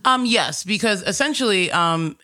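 A woman stand-up comedian from New with a deep, raspy voice, chatting casually in an interview with lots of fillers and restarts. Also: expressive, moderate pace, sharp tone. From Astoria, New York. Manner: rambling, many fillers and restarts.

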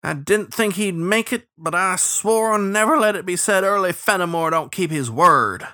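A hot-tempered riverboat cook from a storybook, as a man acts him out. He grumbles and pouts in folksy dialect, with a raised, sharp voice. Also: high-pitched, normal speed, no room sound, clean studio sound, pitched above the performer's own voice. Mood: pouting, grudging.

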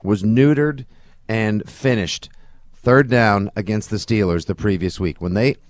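A man co-hosting a sports podcast in a slightly low voice. His talk is casual and opinionated, with emphatic, colorful turns of phrase. The recording is very dry, with little room sound. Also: unhurried, no echo.

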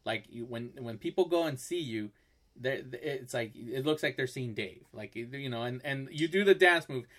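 A man hosting a podcast, speaking in a halting, admiring way with lots of fillers. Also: mid-range voice, animated, fairly bright tone. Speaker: podcast host. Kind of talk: casual podcast interview.

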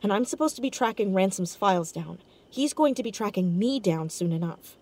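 An actress playing a determined young amateur sleuth in an audio drama, urgent and earnest. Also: female voice, medium pitch, animated, a little fast, mellow. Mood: urgent, resolute. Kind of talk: scripted audio drama dialogue.

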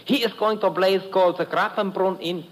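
A man's voice: high-pitched, fairly even intonation, brisk, close to an everyday voice, poor audio. An actor playing a nervous, bewildered warehouseman, stammering and repeating words in 1950s radio style. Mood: fussy. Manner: stammering, jittery, repetitive. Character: nervous, bewildered male European brewery warehouseman. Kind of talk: 1950s radio character acting. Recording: old radio recording with crowd noise.

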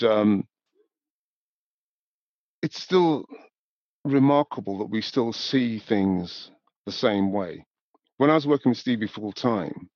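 A man's voice: on the low side, creaky, dry recording. Older man, dry dull recording. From north of England, born in Newcastle. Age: 70s. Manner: thoughtful, measured, with repeated "you know". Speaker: podcast guest, music industry professional. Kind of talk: reflective interview conversation.

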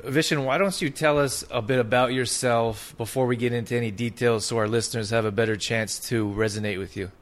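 A man hosting a podcast, enthusiastic and a bit halting as he introduces and questions his guest. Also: medium pitch, fairly even intonation. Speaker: podcast host. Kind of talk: casual interview.

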